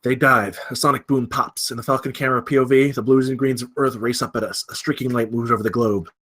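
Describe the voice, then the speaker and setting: A man's voice: medium pitch, fairly even intonation, fast, fairly bright tone. A man narrating scripted stage directions at a film table read.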